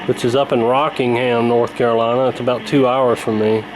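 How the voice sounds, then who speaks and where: A man's voice: mid-range voice, brisk, crowd noise, rough recording. An American man giving a casual hands-on commentary as a radio repair hobbyist, explaining as he goes. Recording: clear steady background noise. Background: old radio being tuned, room noise.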